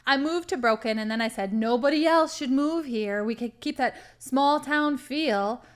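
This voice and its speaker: Female villain played by a woman, radio comedy-drama: sugary innocence over smug scheming, higher register. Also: moderate pace, close to an everyday voice. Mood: smug, scornful. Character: gleefully manipulative female crime lieutenant posing as sweet innocent. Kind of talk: improvised radio drama acting.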